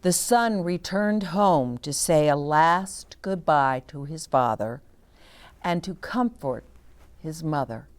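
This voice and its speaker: A woman's voice: low-pitched, expressive, slow pace. A woman reading a children's storybook aloud as a story time host, with short explanatory asides to young listeners.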